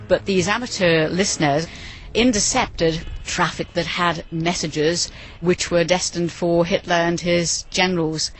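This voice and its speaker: A woman giving a measured, explanatory interview as an expert on history. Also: lowish voice. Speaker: museum trust director being interviewed.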